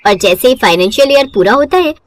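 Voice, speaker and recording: A female narrator tells a scripted bedtime tale. Her voice sits high, and her intonation moves in lively, wide swings. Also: quick pace.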